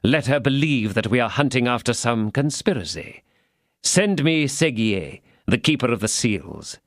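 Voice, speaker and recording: A man voicing a scheming older cardinal who speaks in a measured, falsely paternal tone. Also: medium pitch, animated, no echo, studio quality, lightly acted. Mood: commanding. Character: scheming, cold-hearted older male cardinal.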